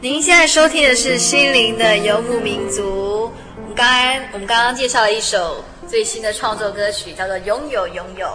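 A woman's voice: on the high side, normal speed. A woman hosting a conversational radio interview, prompting her guest with questions and echoing the answers. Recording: music behind the voice. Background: music.

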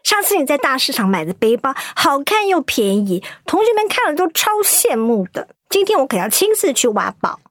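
A woman's voice: high-pitched, big pitch swings, moderate pace, few pauses, dry recording, clean studio sound. An actress playing an eager, curious teenage daughter in a radio drama, asking her mother questions. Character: curious cheerful teenage girl, eager and chatty.

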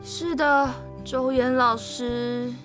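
A woman's voice: high-pitched, little pitch movement, very slow, a bit bright, soft background music, full character voice. A young woman acting a cheerful, curious student in an audio drama, playful and eager with a whiny streak. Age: young adult.

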